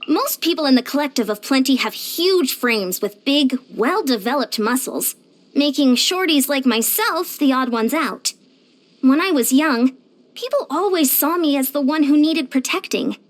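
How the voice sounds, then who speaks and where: A woman voicing a short, determined, energetic young female fitness coach in a video game, earnest and plainspoken. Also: high voice, normal speed. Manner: earnest, plainspoken, chatty, confident. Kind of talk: animated video game character voice lines.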